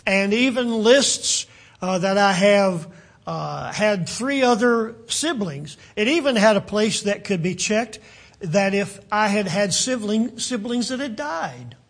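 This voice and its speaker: An older male preacher with a West Virginian accent and a high, husky voice. He talks haltingly, stopping often to search for words. His delivery is unhurried and sincere. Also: expressive, fairly bright tone. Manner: halting, many fillers and restarts. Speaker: pastor. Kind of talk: sermon.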